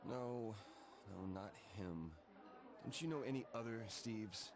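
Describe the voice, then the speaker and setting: A man's voice: lowish voice, slow, crowd noise. A man voicing a nervous, bewildered young man in a video game cutscene, stammering and hesitant. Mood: baffled. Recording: music under the voice.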